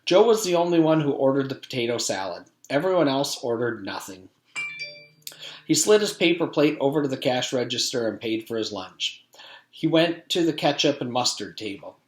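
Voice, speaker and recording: A man's voice: medium pitch, sing-song, normal speed. A man reading a children's storybook aloud in the manner of a teacher with a class. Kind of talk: storybook read-aloud narration. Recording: echoey room.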